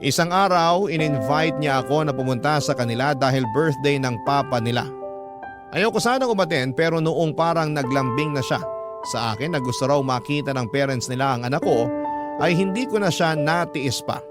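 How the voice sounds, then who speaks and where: A man's voice: fairly high pitch, expressive, normal speed. A man narrating a love story in the first person as a radio storyteller. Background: music.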